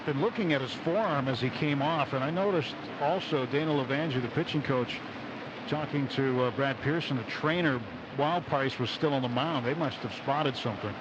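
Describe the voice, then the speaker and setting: A man's voice: mid-range voice, normal speed. A man giving conversational live commentary as the second voice on a radio baseball broadcast. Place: open broadcast booth at outdoor ballpark. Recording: dull, noisy outdoor radio broadcast. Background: loud stadium ambience.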